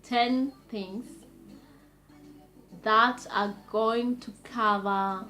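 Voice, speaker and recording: Brief: young woman from Kenya, vlog host, slow and gushing, faint music under her voice. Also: fairly high pitch, fairly even intonation, sharp tone. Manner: enthusiastic, repeats superlatives, unhurried with pauses. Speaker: vlogger. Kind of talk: casual talking-to-camera vlog.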